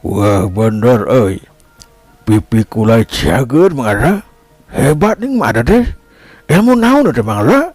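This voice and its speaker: A man voicing an aggrieved, excitable village chief in a folk tale, with a Sundanese accent and groaning, exclamatory delivery. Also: mid-range voice, a little slow, dry recording, soft background music. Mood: amazed, relieved.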